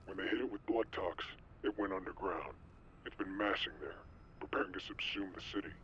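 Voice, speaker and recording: A man playing a stern, urgent insider giving a video game mission briefing in a measured, commanding way. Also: deep voice, a bit bright. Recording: dull radio-like sound, faint noise.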